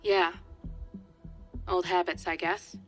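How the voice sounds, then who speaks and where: Low-pitched, brisk hacker woman. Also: level tone. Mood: wry, subdued. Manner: brisk, informal, wry. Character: brisk, wry young female hacker ally. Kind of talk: video game cinematic acting. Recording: music behind the voice.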